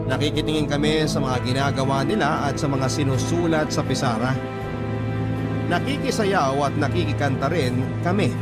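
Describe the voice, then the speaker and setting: Guy doing radio drama storytelling, reflective n sentimental, medium pitch, loud music under him. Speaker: radio storyteller reading a letter. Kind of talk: scripted first-person dramatic narration.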